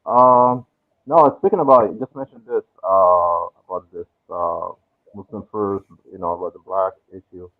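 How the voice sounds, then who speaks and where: A man's voice: sits low, moderate pace. Man on a tinny phone-like line. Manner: relaxed, thinking aloud with many fillers. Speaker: guest. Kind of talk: casual chat.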